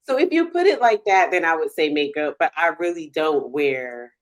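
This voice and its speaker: Low-pitched woman hosting a casual chat. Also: animated, normal speed. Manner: folksy, colloquial, frequent backchannels. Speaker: podcast host.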